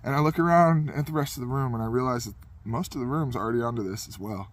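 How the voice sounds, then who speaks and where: A man's voice: medium pitch, quick pace. A man casually telling a comedic anecdote in a rambling, self-deprecating way, as a podcast host would.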